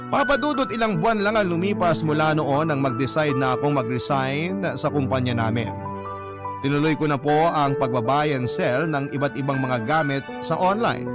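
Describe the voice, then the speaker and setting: A man reading a personal letter as scripted, dramatic first-person story narration. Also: medium pitch. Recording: loud music bed behind voice.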